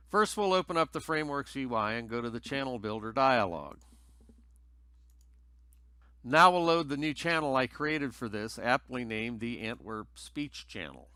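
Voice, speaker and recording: A man's voice: mid-range voice, pushed and bright, no room sound. A man narrating a scripted technical tutorial in a methodical, explanatory way as its presenter.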